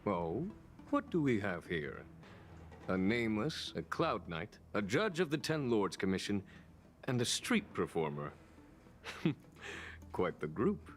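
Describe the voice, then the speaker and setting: A calm general of a fantasy game, speaks in a low voice with animated swings. He is measured and formal, with dry amusement and quiet authority, over faint music. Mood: amused, composed. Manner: measured, formal, lightly wry delivery. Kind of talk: scripted video game voice acting.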